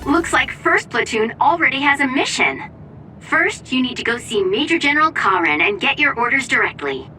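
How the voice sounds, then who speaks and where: Bright young comms operator, high animated voice, slightly distant sound. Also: female voice, moderate pace, soft background music. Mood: chipper. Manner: chipper, briefing tone. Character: cheerful young female platoon operator.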